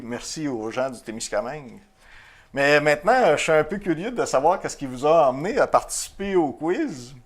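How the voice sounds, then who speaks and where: A middle-aged man with a Québécois French accent, hosting a TV quiz show in a chatty, jokey, self-deprecating way. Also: mid-range voice. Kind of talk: casual game show hosting.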